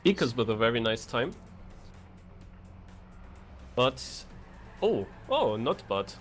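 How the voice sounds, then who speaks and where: A man co-commentating a video game race live, in halting, hesitant phrases. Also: medium pitch, big pitch swings, faint music behind. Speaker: esports co-commentator.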